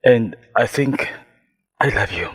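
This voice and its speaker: A man's voice: medium pitch, lively intonation, slow, slightly rough, full character voice. A man with a Cebuano accent acting an earnest, sympathetic suitor, speaking sincerely and measuredly in a radio drama. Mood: tender, earnest. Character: earnest, sympathetic suitor courting a married woman.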